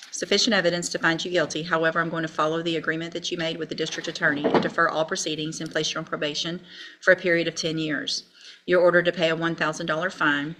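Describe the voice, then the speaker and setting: American lady judge, lowish voice, blunt and scolding, formal court talk, clearly noisy recording. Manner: blunt, stern, admonishing. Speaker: judge presiding over a sentencing.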